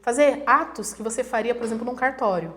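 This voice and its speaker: A woman's voice: a little high, a little fast, a bit bright. A woman from Brazil, a guest professor, explaining things conversationally and acting out examples in quoted voices. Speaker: guest, international relations professor.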